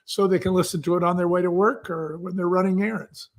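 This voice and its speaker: A man's voice: high-pitched, steady tone, fast. A man interviewed as an entrepreneur guest, explaining a process step by step in conversation with homely examples.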